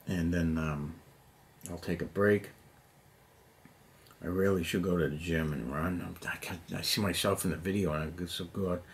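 Middle-aged guy w a low voice, rambling on with lots of "you know", basement hobby room, faint hum behind. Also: fairly even intonation, moderate pace, long pauses. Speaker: hobby vlogger. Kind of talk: casual rambling chat while painting. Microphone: camera on the table nearby.

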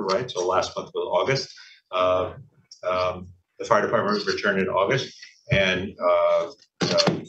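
A man giving a formal project report to a board of directors, in a hesitant, measured way with frequent pauses. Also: deep voice, a bit bright, slight background noise. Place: large indoor conference room. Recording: echoey, dull sound picked up from far off in a boardroom.

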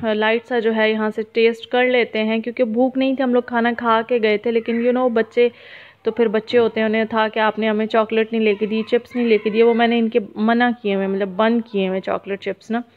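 A woman in her thirties with a Pakistani accent, sounding sad as she gives a casual, halting vlog voice-over sprinkled with English words. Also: fairly high pitch, level tone. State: sad, downcast. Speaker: family vlogger. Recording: muffled phone-like narrow-band sound.

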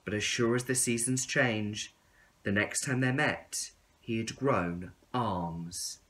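A man in his thirties reading a storybook aloud to young children in an expressive, deliberate way. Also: lowish voice.

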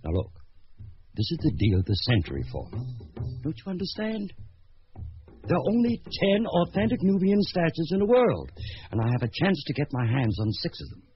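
A man's voice: a little high, huge pitch swings, normal speed, faint music behind. A man acting in a radio drama as a stubborn, scheming middle-aged businessman father, theatrical and evasive. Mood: scheming, cajoling. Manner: theatrical, blustering. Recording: slightly muffled radio drama recording.

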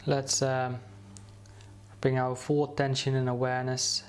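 A man leading a guided meditation, speaking slowly and soothingly with many pauses and restarts. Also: mid-range voice, completely flat delivery, smooth.

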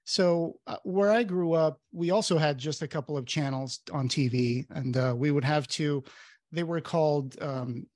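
A man's voice: fairly high pitch, darkish tone, no echo. A man from Portugal whose first language is Portuguese, co-hosting a casual interview over a video call with headphones on. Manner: friendly, quick agreeing backchannels. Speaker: podcast co-host. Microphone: headphones and webcam on video call.

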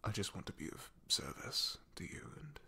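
Male actor as devoted knight, ASMR roleplay. Husky and crackly, deferential, nervously hesitant, apologetic. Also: lowish voice, sing-song, pushed and bright, exaggerated voice. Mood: nervous, eager-to-please. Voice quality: breathy, gravelly. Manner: hesitant, apologetic, halting with throat clearing. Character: awkward, loyal, deferential male knight guarding a princess. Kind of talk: ASMR roleplay, soft half-whispered acting.

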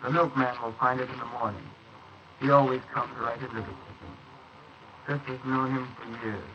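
A man's voice: medium pitch, flat delivery, moderate pace, close to an everyday voice. An actor playing a nervous, guilty young man mixed up in murder, in a 1940s radio drama style on an old recording. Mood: calmer, reassured. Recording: noisy muffled 1948 wire recording of transcription discs.

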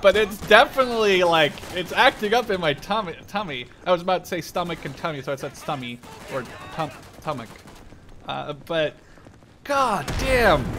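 Excitable guy in his 20s doing game commentary, voice a bit high, loud gunfire behind him. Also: background noise. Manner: excitable, swears freely. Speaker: gaming video creator. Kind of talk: casual first-person shooter gameplay commentary. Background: war game sound effects, gunfire, machine guns.

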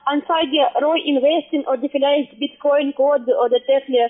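A high-voiced woman with a foreign accent works through a halting phone sales pitch. She is a non-native speaker with broken grammar. The line is thin and tinny, like a cheap call-center connection. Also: fairly even intonation. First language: not German.

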